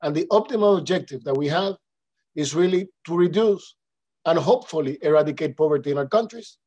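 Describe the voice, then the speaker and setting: A man's voice: a little high, brisk, dark tone. A man from the Dominican Republic with a Spanish accent, a native Spanish speaker giving a polite webinar presentation with frequent fillers and restarts. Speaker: panelist representing an international organization.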